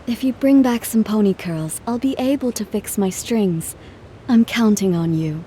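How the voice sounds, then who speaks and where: An actress playing a gentle, earnest traveling musician in a video game, speaking politely and in measured phrases. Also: female voice, medium pitch, slight background noise, natural voice. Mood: grateful, gentle. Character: gentle, earnest female traveling musician, composed but careworn. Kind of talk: video game cutscene acting.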